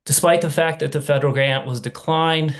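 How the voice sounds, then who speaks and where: A man's voice: a little high, steady tone, brisk. Man commenting over a video call. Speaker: nonprofit advocate. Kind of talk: public comment.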